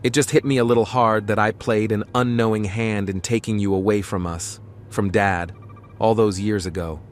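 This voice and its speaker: A man's voice: fairly low pitch, fairly even intonation, normal speed, close to an everyday voice. A man playing an earnest, reflective starship captain and devoted son in a fan audio drama. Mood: reflective, regretful. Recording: faint background noise.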